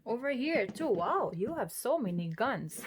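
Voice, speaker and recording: A woman's voice: fairly high pitch, sing-song, unhurried, a little hiss or hum. An older woman from the Philippines with a Filipino accent, chatting casually and laughing often as she films a museum tour. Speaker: vlogger touring a museum.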